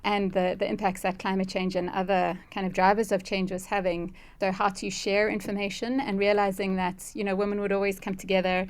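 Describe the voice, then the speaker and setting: Even-toned South African woman. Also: mid-range voice, a little fast, hardly any pauses. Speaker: interview guest, conservation ecologist. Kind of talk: reflective interview answers.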